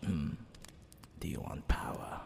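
A man voicing a smug, flirtatious demon king in a theatrical ASMR roleplay, teasing the listener, with creaky tones that drop into breathy whispers. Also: darkish tone, lightly acted. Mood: teasing curiosity. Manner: theatrical, drawn-out, teasing delivery. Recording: long hall reverb, background hiss and ambience.

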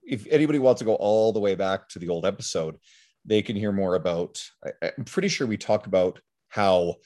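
A man hosting a podcast who introduces his guest in a relaxed, chatty way. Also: fairly low pitch, a little fast. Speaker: podcast host. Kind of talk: casual interview.

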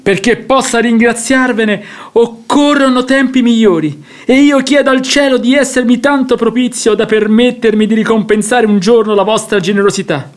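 An actor playing an indignant, duped wealthy householder in a radio drama, with an exasperated theatrical manner. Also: male voice, very high voice, steady tone, normal speed, few pauses. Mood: dismayed.

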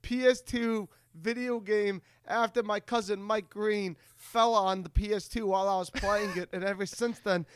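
A man hosting a comedic podcast over a video call, telling rambling, excitable stories with some profanity. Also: high voice, moderate pace, few pauses, clear, resonant tone, no room sound, clean studio sound. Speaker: podcast host.

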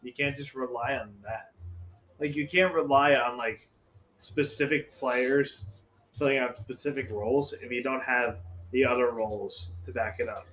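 A young man talks bluntly in casual chat, swearing freely and teasing his friends. His voice is mid-pitched, with a faint hum of room ambience underneath. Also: fairly even intonation, moderate pace, a bit bright.

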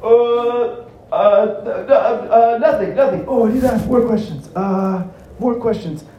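Man putting on a nervous storybook sidekick, high pitch, stammering, flustered, echoey room. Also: slow, mellow. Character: nervous male accomplice stalling with silly questions. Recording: roomy echo, light background noise.

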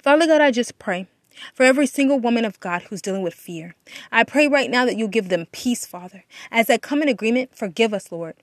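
A woman's voice: a little high, expressive, fairly quick, dry recording. Woman giving earnest devotional talk, dry sound. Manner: earnest, exhorting, repeats phrases for emphasis. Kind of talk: devotional encouragement talk with prayer.

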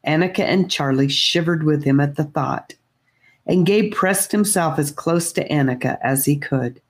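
A woman reads a children's fantasy story aloud, gentle and unhurried. Her voice sits low for a woman. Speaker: audiobook reader. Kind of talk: storybook narration.

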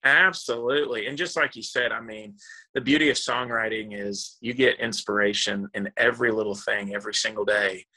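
Low gravelly-voiced man chatting easily. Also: steady tone, bright, forceful tone, low-quality recording. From born in Texas, raised in the Dominican Republic. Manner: easygoing, often pauses with "you know" and "um". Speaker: country singer-songwriter, guest. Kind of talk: relaxed interview chat.

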